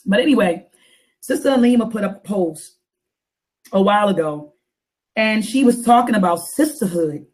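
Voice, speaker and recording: A woman hosting a casual talk show, her deep voice raspy and hoarse after coughing, repeating phrases for emphasis. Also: lively intonation, normal speed, long pauses, darkish tone. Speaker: host.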